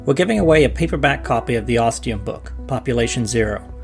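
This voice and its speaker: A man's voice: mid-range voice, lively intonation, fast talker, creaky. A man speaking as a podcast announcer in a casual, direct address to listeners. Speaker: podcast team member announcer. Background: plucked guitar music.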